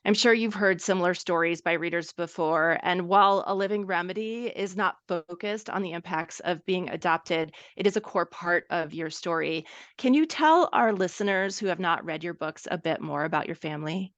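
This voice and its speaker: A woman hosting a podcast, reading a prepared introduction and asking measured interview questions. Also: on the low side, fairly bright tone, no echo. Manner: measured, reads prepared remarks. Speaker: podcast host.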